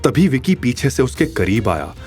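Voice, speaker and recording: A man's voice: mid-range voice, very animated, normal speed. A man narrating a scripted audio drama story in a dramatic style. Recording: faint music behind.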